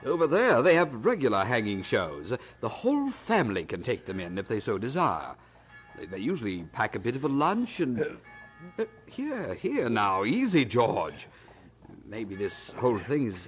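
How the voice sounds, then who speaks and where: A man's voice: on the low side, big pitch swings, moderate pace, soft background music. An actor playing a genial, talkative, worldly man in an audio drama, with a chatty, stammering manner. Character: genial, talkative, blustering man, George's worldly host Tom.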